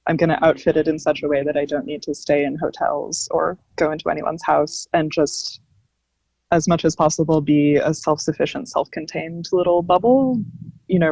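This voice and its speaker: A woman's voice: low-pitched, fairly even intonation, normal speed, dark tone, dry recording, rough recording. A woman on a panel talking casually and explaining things as she thinks them through.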